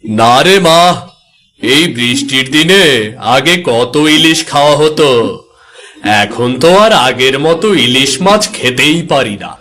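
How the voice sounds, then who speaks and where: A man's voice: mid-range voice, lively intonation, unhurried, pushed and bright. A man voicing a genial, homely village father in a cartoon. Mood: wistful. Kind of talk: cartoon voice acting.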